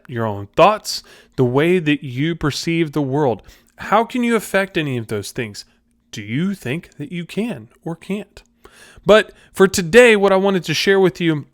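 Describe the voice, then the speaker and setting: A man's voice: fairly high pitch, no echo, clean studio sound. A man hosting a podcast, giving a casual motivational talk straight to the viewer in an informal, direct, confiding way. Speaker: podcast host.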